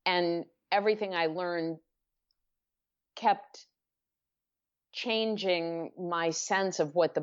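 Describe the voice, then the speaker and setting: A middle-aged woman speaking as an author in a podcast interview, thoughtful and measured, often restarting her sentences. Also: on the low side. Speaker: author and guest. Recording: dull lo-fi podcast audio.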